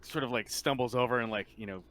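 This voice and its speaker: A man improvising narration as a tabletop game master, halting often and padding with "like". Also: medium pitch, a bit bright. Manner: halting, filler-heavy "like". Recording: noticeable background noise on mic.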